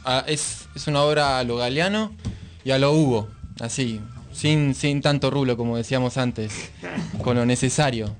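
A man's voice: medium pitch, moderate pace, faint music behind. A man with an Argentine Rioplatense accent, talking casually as a radio co-host.

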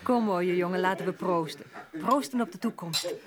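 An actress playing a flirtatious, teasing wife in a radio drama, coaxing and playful. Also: female voice, lowish voice, moderate pace, a crowd in the background, close to an everyday voice. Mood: seductive.

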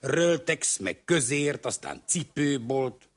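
A man doing a long-suffering loyal socialist who tells his complaint in a mock-serious way. The voice sits at an ordinary middle pitch, and it comes from an old radio comedy sketch. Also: lively intonation, lightly acted. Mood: aggrieved. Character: older male believer in socialism telling a grievance.

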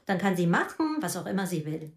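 A woman's voice: lowish voice, very animated, fairly quick, roomy sound. A cold, commanding mother. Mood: stern. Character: stern mother of grown twins, cold and controlling. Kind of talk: radio drama acting.

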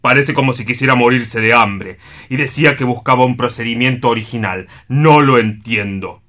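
Here is a man playing a war-scarred, humourless orderly from a radio drama. The voice is mid-pitched and sharp-edged, with a grave, formal delivery that turns plaintive and indignant at any breach of seriousness. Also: normal speed, close to an everyday voice. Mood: exasperated. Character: gloomy, solemn, indignant male sanatorium orderly, war-scarred. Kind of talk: radio play acting.

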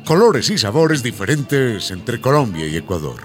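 A man narrating a radio drama from a script, with expressive, descriptive, theatrical phrasing. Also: mid-range voice, moderate pace, soft background music, natural voice.

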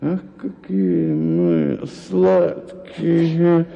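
A man's voice: a little high, very animated, very slow, vocal fry, mellow, heavily acted. An actor playing a doting, henpecked husband who coos, apologizes and frets as he narrates. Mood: fawning. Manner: cooing, apologetic, anxious. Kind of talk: radio play acting and narration. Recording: dull lo-fi with faint music.

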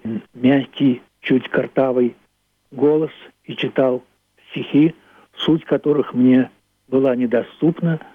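An elderly man from Russia in his eighties speaking as a playwright, reflecting in a measured, thoughtful and literary way in a radio interview. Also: mid-range voice, no echo. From Russia (Leningrad). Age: 80s. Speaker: playwright and author, interviewed about his play. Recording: muffled narrow phone-like line.

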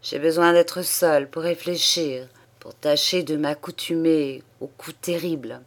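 A woman's voice: low-pitched, fairly even intonation, unhurried. A woman voicing a proud, hot-tempered old male aristocrat who speaks with haughty irony and bursts into anger, read in an audiobook. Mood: weary, anguished. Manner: haughty and ironic, flaring into shouts.

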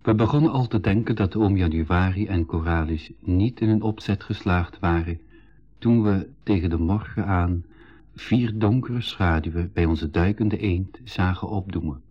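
Casting: Flemish storyteller recalling an adventure, low slightly husky voice, scripted radio drama narration. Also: male voice, moderate pace. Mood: measured storytelling. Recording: dull 1980s radio recording.

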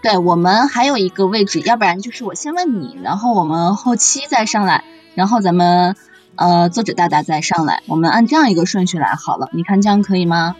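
A woman's voice: lowish voice, expressive, fairly quick, faint music behind. A woman hosting a casual live voice chat in a teasing, reassuring way, full of exclamations. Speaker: host of a radio drama cast chat. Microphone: online voice chat.

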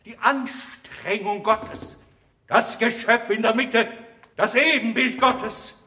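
A man's voice: very high-pitched, steady tone, slow pace, sharp tone, full character voice. A man playing a frail, self-centred old cardinal in a radio drama, declaiming grandly. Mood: exalted, strained. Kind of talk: German radio drama acting. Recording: echoey, distant radio play recording.

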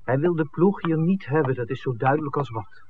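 An actor playing an eager, easygoing filmmaker with an eye for women in a 1960s Dutch radio drama. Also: male voice, fairly high pitch, expressive, fairly quick, a little hiss or hum, natural voice. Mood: puzzled. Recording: muffled, narrow old radio recording.

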